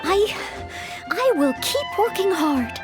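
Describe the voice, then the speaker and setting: A woman's voice: extremely high pitch, very animated, slow, music in the background, heavily acted. A woman voicing an eager, modest young maid in a video game, speaking politely and earnestly. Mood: flustered, earnest. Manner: polite, earnest, self-effacing. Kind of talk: scripted video game voice acting.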